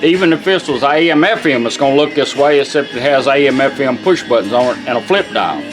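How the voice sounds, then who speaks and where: A man's voice: medium pitch, expressive, a little fast. A man giving a casual, folksy, plain-spoken demonstration as the presenter of a product. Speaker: presenter demonstrating a car radio. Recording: radio music playing behind. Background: car radio music.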